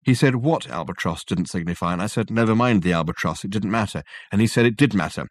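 A man's voice: lowish voice, quick pace, no room sound, clean studio sound, lightly acted. A man voicing an effusive, self-satisfied male detective who talks in flowery, rambling sentences.

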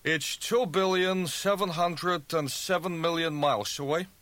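Burly Danish crewman for an audiobook: Danish accent, blunt, offhand delivery, pitched well up, very dry sound. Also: measured pace, a bit bright, no echo. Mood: matter-of-fact. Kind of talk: audiobook character dialogue.

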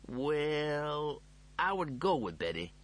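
A man's voice: a little high, very animated, slow pace, a bit bright, voice pushed up, heavily acted. A man voicing a vain, opinionated humanoid male cat in an audiobook, emphatic and self-assured. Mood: wistful. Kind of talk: audiobook character voice.

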